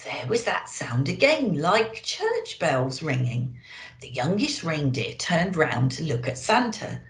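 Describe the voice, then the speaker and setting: A woman speaking as a children's storyteller, expressive and deliberate, as if to young listeners. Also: deep voice. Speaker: storyteller in character as a Christmas elf. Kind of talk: children's storybook reading and festive address. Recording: echoey room.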